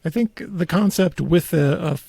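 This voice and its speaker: A man hosting a podcast, chatting casually about local politics in a halting way, with thoughts that trail off. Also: on the high side, vocal fry, mellow, husky, no room sound. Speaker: podcast host.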